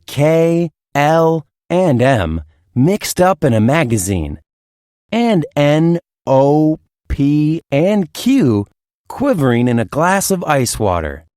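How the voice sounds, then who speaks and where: A woman reads a children's story as narrator. She goes slowly with very lively intonation, and the sound is dry and close. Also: deep voice, no room sound. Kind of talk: expressive children's read-aloud narration.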